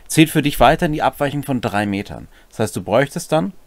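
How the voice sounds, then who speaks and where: A man's voice: medium pitch, expressive, fast, no echo. A middle-aged man co-hosting a casual explanatory chat about tabletop game rules. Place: small cluttered home room.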